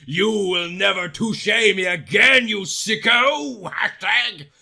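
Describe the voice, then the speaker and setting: A man's voice: high-pitched, slow, vocal fry, sharp tone, pitched above the performer's own voice, heavily acted. A man voicing a sarcastic, foul-mouthed teenage girl with indignant, slangy delivery in a parody story. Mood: furious.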